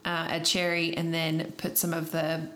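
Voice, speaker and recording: A woman's voice: low-pitched, completely flat delivery, moderate pace, a bit bright. A young woman co-hosting a podcast, sniffly from allergies, chatting in a rambling way with fillers. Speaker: podcast co-host. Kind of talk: casual chat.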